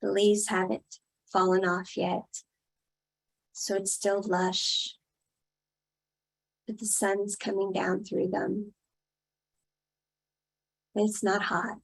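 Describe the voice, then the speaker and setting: A woman leading a guided meditation in a soothing style, speaking in short, unhurried phrases with long pauses. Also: mid-range voice, little pitch movement, vocal fry, husky, poor audio.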